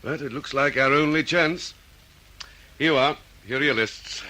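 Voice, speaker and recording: A man playing a senior, dogged police detective, brisk and authoritative in an old radio drama style. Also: on the high side, pushed and bright, poor audio. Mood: businesslike, determined.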